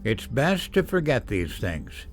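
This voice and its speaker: A middle-aged war official in a radio drama. He is reserved and evasive, choosing his words haltingly and uneasily. Faint music sits behind the voice. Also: medium pitch, very animated. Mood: guarded. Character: anxious, evasive father, a war institute official underground.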